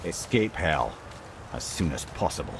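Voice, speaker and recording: An actor voicing a wry, worldly-wise storyteller companion in a video game, with a Scottish accent and an eloquent, sardonic manner. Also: male voice, rumbling low, sing-song, measured pace, background noise, heavily acted. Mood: wry, grave. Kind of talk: dramatic video game acting.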